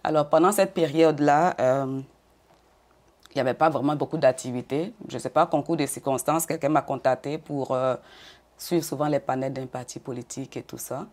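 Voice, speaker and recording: An older woman with a notably low voice, originally from Côte d'Ivoire, answers questions in an interview. She speaks in a measured way and often doubles her words as she gathers her thoughts. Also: fairly even intonation, darkish tone. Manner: measured, repeats words, brief laughs. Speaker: interview guest.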